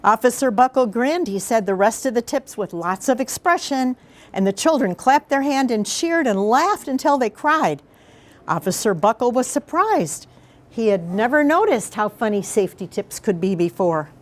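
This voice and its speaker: A woman's voice: on the high side, big pitch swings. Female read-aloud storyteller for elementary kids, informal and explanatory, interactive with the listeners. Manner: explanatory, pauses to question the children. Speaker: mayor reading aloud to schoolchildren.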